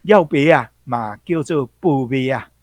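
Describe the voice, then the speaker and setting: A man's voice: mid-range voice, measured pace, a little mellow, no room sound. A man with a Taiwanese accent giving an explanatory talk as a guest commentator, going step by step. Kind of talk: explanatory talk on words and history.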